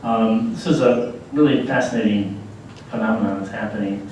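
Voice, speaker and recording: A man's voice: lowish voice, normal speed, a little mellow, a little hiss or hum. A man on a panel who sometimes fills with "uh" and "um". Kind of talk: panel discussion. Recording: echoey room from far off.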